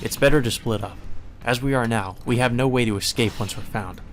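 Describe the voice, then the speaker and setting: A man's voice: mid-range voice, brisk, a little hiss or hum, close to an everyday voice. A man voicing a tense, bossy, cautious young woman who gives urgent orders in a visual novel. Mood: firm, tactical. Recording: music playing behind the voice.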